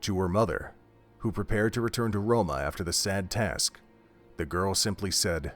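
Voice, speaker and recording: A man's voice: sits low, normal speed, faint music behind. A man reading scripted narration for an audio drama, telling the story in prose.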